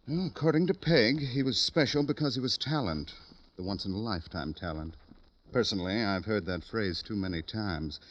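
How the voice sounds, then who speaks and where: Casting: self-important male publisher, played by an actor, wordy and fussy, deep register, dull old radio-serial sound. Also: lively intonation, moderate pace, natural voice. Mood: confiding, pompous. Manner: pompous, talkative. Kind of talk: 1950s radio drama acting.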